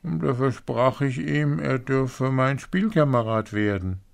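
A man's voice: medium pitch, measured pace, vocal fry, voice pushed up. A man voicing a young princess in a fairy tale, girlish, pleading and emotional. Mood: anxious. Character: young princess, girlish, tearful, eager to promise.

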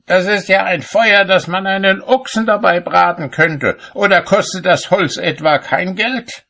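A man voicing an irascible, fault-finding master cobbler in a storybook, shouting and scolding indignantly. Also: high voice, measured pace, gravelly, pitched above the performer's own voice, full character voice. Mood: outraged.

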